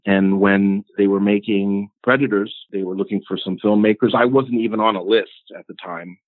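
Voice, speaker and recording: A man in his forties from Los Angeles speaks in a fairly low voice, telling his story earnestly as an interview guest. He often stumbles and repeats himself at the start of his sentences. His voice comes through a tinny, phone-like line that sounds dry and close. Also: no room sound. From Los Angeles, United States. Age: 40s. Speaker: film director, guest. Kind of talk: interview answers over a remote line.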